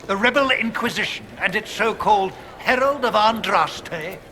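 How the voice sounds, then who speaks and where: An actor playing a haughty, sceptical church chancellor in a fantasy game, speaking in a pompous, biting, indignant manner. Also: male voice, sits high, animated, moderate pace, noisy background. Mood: scornful. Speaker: game character. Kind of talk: fantasy video game voice acting.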